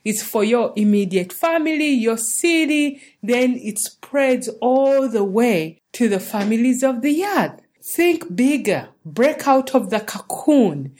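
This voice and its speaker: A woman's voice: a little high, lively intonation, slow, few pauses, a little mellow. A woman from Uganda, hosting a faith-based teaching talk in an earnest, encouraging way. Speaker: show host.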